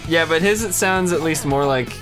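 A man's voice: on the high side, normal speed. A young adult man giving casual, playful commentary as he plays and hosts a let's play. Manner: giggly, playful. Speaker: let's play host and player. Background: video game music.

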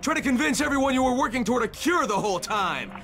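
An actor playing a defiant, sardonic stranded operative in a video game, confronting the people who betrayed him. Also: male voice, very high-pitched, animated, fast, creaky, music in the background, heavily acted. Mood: angry, scornful. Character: defiant stranded male operative turning on his corrupt employers.

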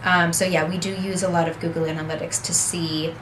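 Young woman, workshop presenter, low-pitched, flat delivery, steady explaining, small conference room. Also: fairly quick, noisy background. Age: young adult. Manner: explains steadily, gesturing with her hands. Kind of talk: seminar talk.

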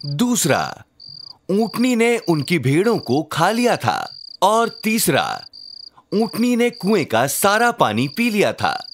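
A man voicing an encouraging father who narrates a children's cartoon story in an expressive way. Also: high-pitched, takes it slowly, slight background noise, exaggerated voice. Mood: cheerful, encouraging. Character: warm bespectacled father telling his child religious stories.

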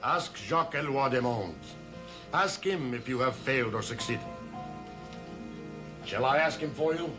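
An older actor playing a centuries-old, authoritative emissary of dark powers in a gothic television soap, measured and taunting. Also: male voice, medium pitch, animated, natural voice. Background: dramatic music.

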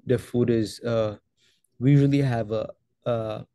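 A young man from Ethiopia, a guest on a video call interview, answering casually with many pauses and restarts. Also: medium pitch, fairly even intonation, dark tone, no echo, studio quality. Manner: hesitant, many fillers and restarts. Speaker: guest photographer.

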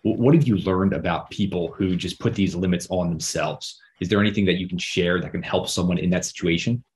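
Very deep-voiced podcast host. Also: male voice, fairly quick, a little mellow. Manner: affirming, reflective questioner. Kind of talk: casual interview questions.

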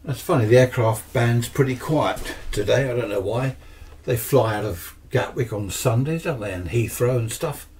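A man's voice: medium pitch, moderate pace, darkish tone, a little hiss or hum. A man, chatting casually and wryly through a rambling product review. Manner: rambling, wry asides, self-mocking. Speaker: presenter of a radio workshop channel. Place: small cluttered indoor radio workshop.

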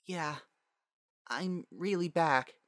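Man doing a high, anxious young pony mare. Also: very slow, a bit bright, no room sound, studio quality. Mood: tender. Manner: hesitant, quivering when emotional. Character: young adult female pony, anxious, emotional daughter.

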